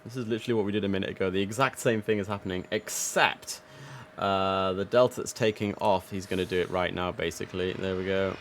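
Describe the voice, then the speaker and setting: Male game streamer, low voice, chatty between controller instructions, noticeable noise behind him. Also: fast talker. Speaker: streamer playing air traffic controller. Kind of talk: casual live game commentary mixed with radio instructions. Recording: steady background noise.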